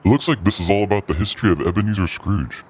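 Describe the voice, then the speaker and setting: Man as a sinister cryptid host, low-pitched, wry, theatrical, hungry, scripted podcast narration. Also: fast talker, slight background noise, lightly acted. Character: hungry, sinister holiday cryptid guide with macabre humor. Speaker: host linking podcast segments.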